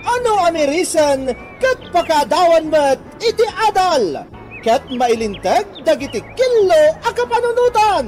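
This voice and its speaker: A woman's voice: very high voice, very animated, a little slow, darkish tone, faint music behind. A woman announcer giving a scripted, declamatory and emphatic introduction to a radio drama programme.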